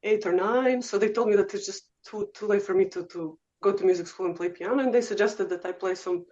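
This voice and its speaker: A woman with a Serbian accent speaks at a middle pitch in a loose, chatty way, filling pauses with "um" and "uh." She sounds like a guest sharing her own story. The audio is slightly muffled and lo-fi. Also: mellow. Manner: chatty, frequent "um" and "uh", laughs easily. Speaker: guest oboe professor. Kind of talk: casual interview storytelling.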